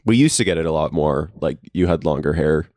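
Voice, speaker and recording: A man's voice: rumbling low, brisk, darkish tone, no room sound, studio quality. A young man co-hosting a podcast, talking easygoing and loose, with plenty of "like" fillers. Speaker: podcast co-host. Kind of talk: casual chat. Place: basement.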